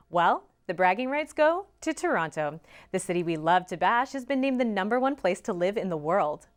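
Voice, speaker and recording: A young woman anchoring a college newscast from a studio newsroom, reading scripted headlines in a crisp, even newscaster manner. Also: lowish voice. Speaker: student news anchor.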